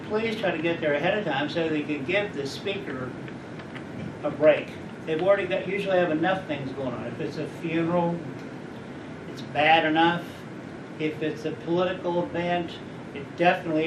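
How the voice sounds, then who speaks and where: Rambling high-voiced man in an echoey room. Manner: rambling, anecdotal, asks the audience questions. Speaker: guest speaker. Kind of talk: informal lecture to students. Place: college lecture room. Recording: echoey room, distant mic, background noise.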